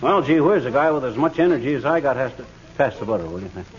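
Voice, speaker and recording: An actor playing a boastful middle-aged know-it-all husband, pompous and folksy, in a 1940s radio comedy. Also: male voice, medium pitch, animated, fast talker, noisy background, close to an everyday voice. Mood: hungry, grumbling. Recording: dull 1940s radio recording, studio audience.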